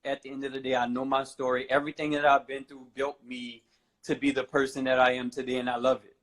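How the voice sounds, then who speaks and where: A young man in his twenties speaks at an ordinary mid pitch, casual and unhurried. His talk wanders and leans on fillers like "you know" as he answers questions on a talk show. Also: poor audio. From New Orleans, USA. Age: 20s. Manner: relaxed, rambling, many "I feel like" and "you know". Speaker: guest, fashion model.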